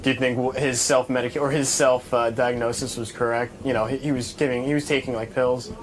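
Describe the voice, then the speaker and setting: A man's voice: medium pitch, level tone, a little fast. A man asking casual interview questions, hesitantly rephrasing as he goes. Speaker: interviewer, reporter. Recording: faint background room noise.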